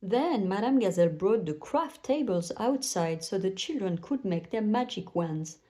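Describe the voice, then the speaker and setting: A woman's voice: mid-range voice, expressive, normal speed, warm tone. A woman narrating a children's bedtime story aloud, telling it expressively for young listeners.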